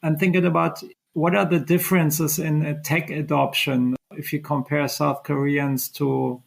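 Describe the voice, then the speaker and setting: A man hosts a business podcast, putting questions to his guest in a conversational, slightly halting way. His voice is gravelly and sits a little high. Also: flat delivery. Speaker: podcast host. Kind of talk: interview questions.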